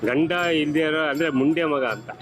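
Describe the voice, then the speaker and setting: A man's voice: fairly high pitch, normal speed. A man chatting casually as he answers a street interviewer. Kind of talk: casual chat. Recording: music behind the speech.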